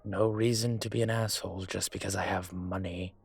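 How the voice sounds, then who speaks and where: A man playing a brooding, courteous and vengeful dragon mafia boss in an intimate audio roleplay, speaking in a measured, hesitant way with a husky voice. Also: deep voice, fairly even intonation, soft background music, heavily acted. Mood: relaxed, courteous. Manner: measured, hesitant, pausing often. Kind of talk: audio roleplay drama, intimate dinner conversation.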